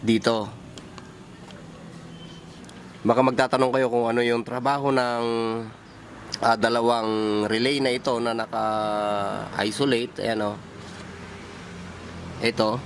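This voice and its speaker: A Filipino man presents a hands-on technical demonstration in an informal style. His pitch sits in the middle range for a man. He speaks in halting pieces, thinking aloud as he points to each part, with clear vehicle and outdoor noise behind him. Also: little pitch movement. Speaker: electronics hobbyist presenter.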